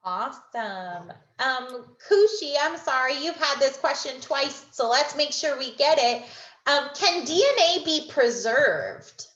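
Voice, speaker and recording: A woman's voice: sits high, expressive, slow, fairly bright tone. A woman moderating a video session for children, encouraging them and passing along their questions. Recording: echoey, dull video call audio.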